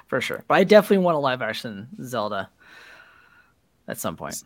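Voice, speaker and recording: A man's voice: mid-range voice, big pitch swings, normal speed, no room sound. A man co-hosting a podcast in casual, conversational chat. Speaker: podcast co-host.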